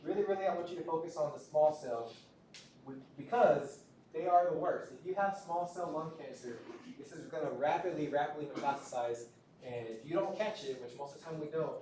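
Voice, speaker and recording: A man lecturing in a relaxed, conversational way, circling back over his words and checking in with the class as he goes. His voice sits a little high and sounds set back from the mic. The room rings with a strong echo under a faint hiss. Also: brisk. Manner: conversational, repeats words, frequent "right?" checks. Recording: roomy, distant lecture-hall recording with faint noise.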